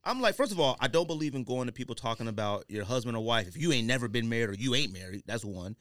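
A man's voice: mid-range voice, fast, no room sound, clean studio sound. A man in his late thirties chatting casually as a podcast host, telling stories in an emphatic, slangy way. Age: late 30s. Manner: animated storytelling, slangy, emphatic.

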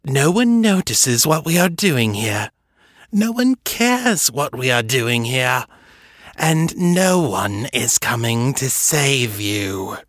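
A man's voice: mid-range voice, very animated, slow pace, fairly bright tone, dry recording, clean studio sound. A man voicing a gleeful, sadistic male vampire who taunts in a sing-song, cooing way. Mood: mocking.

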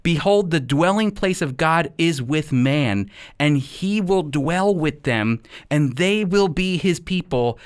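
Guy preaching on a radio bible program, voice a bit on the high side, really earnest, leans on key phrases w pauses. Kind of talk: sermon-like radio Bible teaching.

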